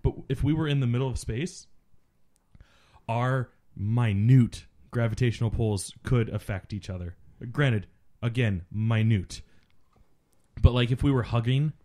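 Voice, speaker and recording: A man's voice: mid-range voice, measured pace, mellow. A man co-hosting a casual film commentary, explaining things in a geeky, profane way. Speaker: podcast co-host.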